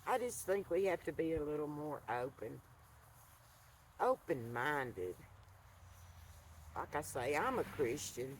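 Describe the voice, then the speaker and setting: A woman, talking earnestly to a camera by an outdoor fire pit, soft-spoken and halting. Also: low voice, very animated, a little hiss or hum. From rural Appalachian North Carolina. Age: 70s. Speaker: vlogger. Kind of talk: reflective monologue to camera.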